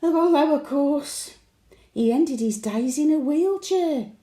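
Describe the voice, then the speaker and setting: A woman's voice: sits high, lively intonation, slow pace, mellow, reverberant. A woman playing a cheeky, wistful elderly market-trader widow with a Birmingham accent, telling her tales in a chatty, colloquial audio monologue. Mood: wry, scornful. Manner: colloquial storytelling with dry chuckling asides. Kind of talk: audio monologue, chatty diary addressed to late husband.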